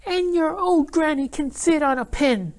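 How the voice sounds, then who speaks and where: A man voicing a rude, smug male pig who shouts back in a very high, snippy voice. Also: a little slow.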